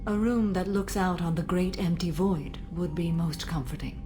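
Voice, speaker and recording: A woman voicing a solemn, serene alien warrior bound by honor, speaking formally and gravely in a video game. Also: on the low side, fairly even intonation, moderate pace, warm tone, faint music behind, lightly acted. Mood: calm, courteous.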